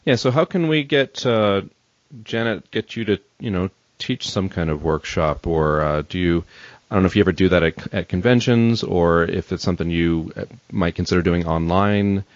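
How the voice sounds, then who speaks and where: A man hosting a podcast interview, chatting casually in hesitant, halting phrases on slightly muffled audio. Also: deep voice. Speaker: podcast host.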